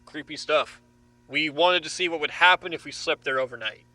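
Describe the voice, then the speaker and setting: A man's voice: a little high, moderate pace, pushed and bright, poor audio. An actor in an audio drama playing a frightened, defiant young man held captive, with an agitated, confrontational manner. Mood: subdued.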